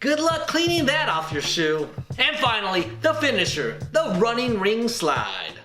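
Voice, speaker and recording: A man's voice: very high voice, wildly swooping pitch, unhurried, reverberant, loud music behind the voice. A man hosting a scripted comedic movie review in a playful, punning, showman-like way.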